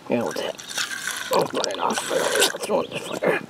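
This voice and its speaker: A man's voice: brisk, heavy background noise. A man talking casually to himself while cooking a meal on a camp stove outdoors, unsure and easygoing. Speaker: outdoor vlogger. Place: outdoor campsite by a lake.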